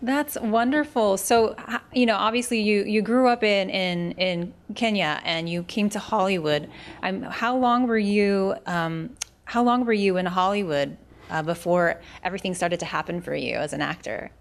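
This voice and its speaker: A woman hosting a casual interview, friendly and quick to laugh. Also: mid-range voice. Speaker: interview host.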